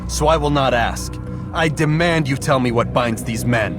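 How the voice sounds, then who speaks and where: A man's voice: mid-range voice, normal speed, over music, lightly acted. A man playing a proud, defiant young assassin in a video game, speaking in a blunt, serious way. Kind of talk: dramatic video game acting.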